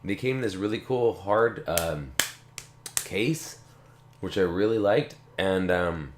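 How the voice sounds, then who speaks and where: A relaxed man with a slightly low voice rambles through a casual tech unboxing, circling back and repeating himself. He has a slight cough. The sound comes from an echoey room. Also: slow, noisy background. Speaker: tech channel host. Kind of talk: casual unboxing talk to camera.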